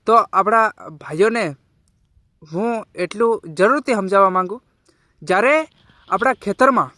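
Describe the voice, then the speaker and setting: High-pitched man, halting informal commentary. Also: fairly bright tone, dry recording. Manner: halting, repetitive, colloquial. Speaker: video creator and community commentator. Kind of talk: informal social media commentary addressed to viewers.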